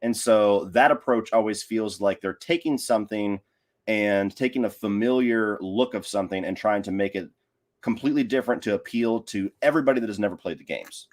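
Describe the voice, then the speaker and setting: A man's voice: on the low side, fairly quick. A man speaking as a podcast guest, giving casual opinions and building his points in repetitive, structured lists. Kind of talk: casual opinionated film discussion.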